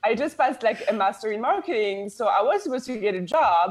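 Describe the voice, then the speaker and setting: A woman's voice: on the high side, expressive, fairly quick. A young woman from France with a French accent, a branding coach talking as a guest in a podcast interview, upbeat and full of "like" fillers. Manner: chatty, many "like" fillers, upbeat.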